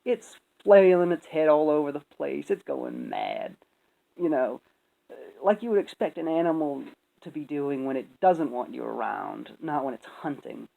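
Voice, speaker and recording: Opinionated commentator, rambling and emphatic, very animated delivery with a creaky edge. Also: mid-range voice, moderate pace, narrow, tinny audio. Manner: rambling, emphatic, frequent swearing. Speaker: video commentator.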